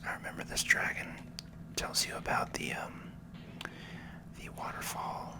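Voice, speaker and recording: A person giving gentle, unhurried ASMR game commentary in a breathy whisper, explaining as they play. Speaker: gaming video host.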